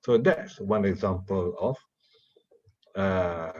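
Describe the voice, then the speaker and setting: A man from Malaysia whose first language is Malay, giving a slow, deliberate university lecture and putting questions to his audience. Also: low voice, a little mellow, lo-fi sound. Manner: slow, deliberate, repeats words while asking the audience questions.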